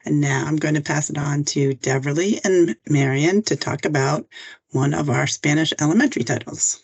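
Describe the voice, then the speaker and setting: Gravelly-voiced woman moderating. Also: low voice, darkish tone. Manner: loose, with filler pauses. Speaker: webinar moderator. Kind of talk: casual moderating.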